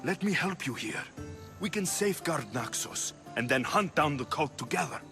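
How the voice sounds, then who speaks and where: A young actor playing a steady, earnest mercenary hero in a video game cutscene. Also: male voice, on the high side, moderate pace. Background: music.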